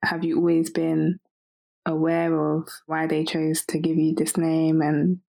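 A woman's voice: low-pitched, monotone, measured pace, creaky, warm tone. A woman hosting a podcast, asking questions in a friendly, upbeat way. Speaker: podcast host. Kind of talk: casual interview chat.

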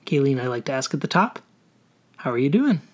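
A man's voice: mid-range voice, big pitch swings, brisk. A man in his thirties hosting a podcast in casual chat, upbeat and steering the talk with questions. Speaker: podcast host.

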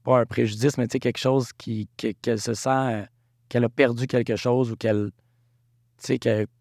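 A man's voice: mid-range voice, little pitch movement, moderate pace, darkish tone, no room sound, studio quality. A young man, a student taking part in a workshop, who talks hesitantly and searches for his words. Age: young adult. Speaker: student participant.

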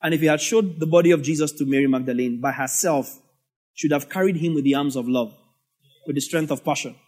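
High-voiced Nigerian pastor preaching. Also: male voice, fast, a little mellow. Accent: Nigerian English. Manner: conversational storytelling with asides. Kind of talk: sermon.

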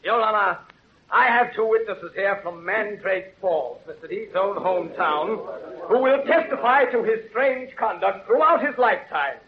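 High-pitched, pompous prosecuting attorney. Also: male voice, expressive, normal speed. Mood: formal, pompous. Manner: declamatory, insistent. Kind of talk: 1930s radio acting. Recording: dull, noisy 1930s radio recording.

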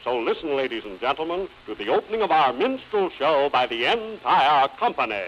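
A theatrical, formal master of ceremonies explains things grandly in a high voice with huge swoops. The vintage radio sound is muffled and noisy. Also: male voice, big pitch swings, a little fast. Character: grandiose, patient master of ceremonies of a minstrel show. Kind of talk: old-time radio minstrel show announcing and patter. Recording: noisy, dull old radio recording.

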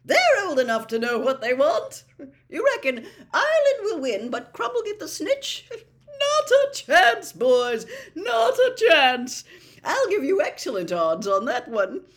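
A woman's voice: sits high, huge pitch swings, normal speed, fairly bright tone, pitched above the performer's own voice, exaggerated voice. A woman voicing a jovial, boyish wizard official, a man who is eager and breezy, in an audiobook. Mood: exuberant, booming. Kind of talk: audiobook character voice.

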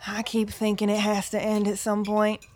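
A woman's voice: medium pitch, monotone, normal speed, a little mellow, natural voice. Woman playing blunt, weary woman in hiding. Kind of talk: audio drama acting.

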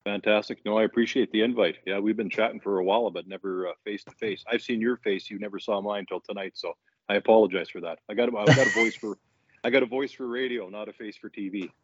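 A man's voice: on the low side, fairly even intonation, quick pace, slight background noise. An older man from central Canada, a guest on a podcast, telling his story in an easygoing, casual way. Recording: dull dry lo-fi audio.

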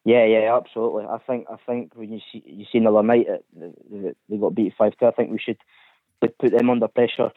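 A man's voice: fairly low pitch, steady tone, quick pace, dry recording. Hesitant male caller on a phone line. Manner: hesitant, filler-heavy. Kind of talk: phone-in chat.

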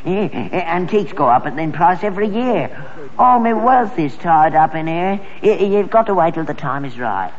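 A man's voice: a little high, sing-song, moderate pace. An actor playing a stubborn, grubby old London rag-and-bone man and father in a sitcom, grumbling and wheedling in working-class slang. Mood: stubborn, indignant. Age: older. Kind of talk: British sitcom acting before an audience. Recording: dull archive recording with studio audience.

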